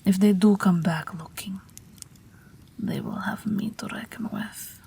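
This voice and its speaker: A woman playing a gentle, wry, protective night witch in an intimate audio roleplay, speaking softly and breathily, often near a whisper. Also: mid-range voice, steady tone, husky. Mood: quietly resolute. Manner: slow, soft, tender reassurance.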